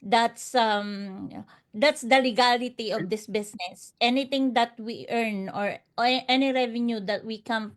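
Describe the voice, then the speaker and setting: A woman who is a non-native English speaker, giving an unscripted motivational testimonial as an interview guest, earnest and emphatic, often repeating her phrases. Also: fairly high pitch. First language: not English. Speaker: interview guest, online business promoter.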